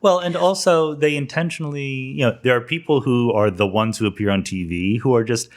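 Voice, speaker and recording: A man's voice: mid-range voice, a little fast. A man hosting a conversational podcast interview, putting questions and thoughts to a guest.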